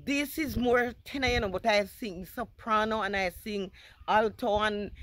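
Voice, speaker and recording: A woman's voice: medium pitch, moderate pace, a bit bright. An older woman with a Jamaican accent and a slight lisp, a retired school principal chatting as an interview guest in a bubbly, vivacious way. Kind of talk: casual interview chat.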